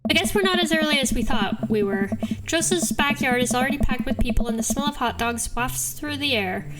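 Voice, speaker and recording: High-voiced woman narrating a game playthrough. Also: expressive, brisk. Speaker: game streamer. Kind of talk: playthrough narration, reading game text aloud with casual asides. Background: loud game music.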